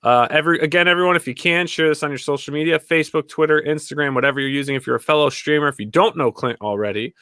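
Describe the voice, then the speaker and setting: Bright-voiced man hosting a casual livestream. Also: fairly high pitch, fast talker, few pauses, clear, resonant tone, dry recording, clean studio sound. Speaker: host of a comics crowdfunding livestream.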